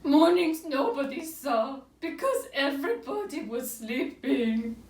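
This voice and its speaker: A woman voicing a self-pitying male owl from a storybook, with a drawn-out, plaintive delivery. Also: high-pitched, sing-song, fairly bright tone, voice pushed up, exaggerated voice. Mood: tearful, mournful. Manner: drawn-out, tearful wailing. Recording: roomy, distant from the mic.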